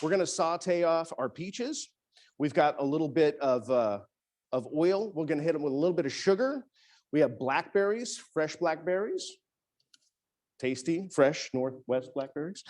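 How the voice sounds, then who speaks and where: Chatty chef with a higher voice. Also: male voice, darkish tone. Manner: casual, chatty, many restarts. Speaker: executive chef. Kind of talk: cooking demonstration. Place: teaching kitchen.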